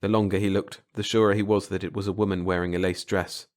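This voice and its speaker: A man's voice: deep voice, flat delivery, fast, darkish tone. A man reading a short story aloud as a dramatic, scripted audiobook narration.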